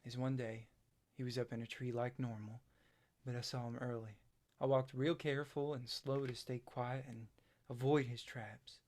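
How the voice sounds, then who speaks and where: A man playing a haunted, self-doubting farm boy in a stage play, halting and plain-spoken. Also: medium pitch, natural voice. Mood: haunted, confessional.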